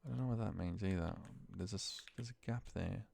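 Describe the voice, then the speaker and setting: A man with a low, gravelly voice and a dark, relaxed tone. He talks himself through on-screen work in an offhand, muttered way, as an informal walkthrough. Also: fairly quick, slight background noise. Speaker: office worker recording a spreadsheet walkthrough. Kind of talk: informal screen-recording walkthrough, thinking aloud.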